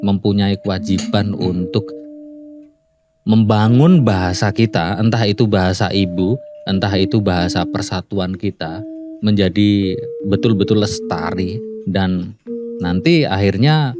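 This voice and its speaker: A low-pitched man hosts a relaxed radio talk show from a studio, asking long, winding questions. Music plays clearly behind his voice throughout. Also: measured pace, pauses often. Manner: asks long, winding questions with easy laughter. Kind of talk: casual interview chat.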